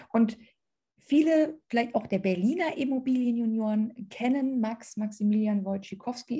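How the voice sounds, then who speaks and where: Gravelly-edged woman hosting a podcast. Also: a little high, dark tone. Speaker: podcast host. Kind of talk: conversational podcast interviewing.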